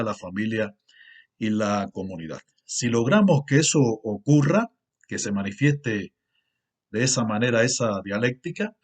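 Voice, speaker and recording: A middle-aged man with a Cuban Spanish accent, a university lecturer giving a formal conference talk in a measured, earnest way with pauses and fillers. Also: medium pitch. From Cuba.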